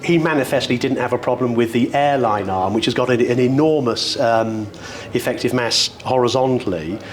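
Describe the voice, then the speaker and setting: A British man presenting products at a hi-fi show, talking off the cuff in an articulate, discursive, wryly enthusiastic way with florid wording. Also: mid-range voice. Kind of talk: unscripted product demonstration talk.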